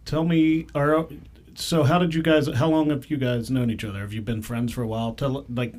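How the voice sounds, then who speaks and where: A man's voice: mid-range voice, steady tone, moderate pace, a little mellow, a little hiss or hum. A man co-hosting an interview, asking friendly, joking questions. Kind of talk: casual interview chat.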